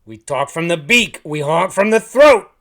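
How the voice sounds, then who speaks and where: A man plays an excitable talking goose from a rhyming storybook. He has a New Jersey accent and pushes his voice loud and high. Every line comes out emphatic, exasperated and indignant, with big swings in pitch. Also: measured pace.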